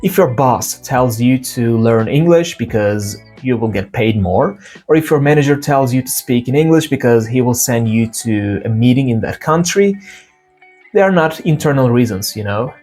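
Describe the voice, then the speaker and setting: Earnest Turkish-accented man, soft music behind. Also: medium pitch, moderate pace. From Turkey. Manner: earnest, animated retelling of stories with quoted dialogue. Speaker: English learning channel host. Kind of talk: direct-to-camera motivational talk.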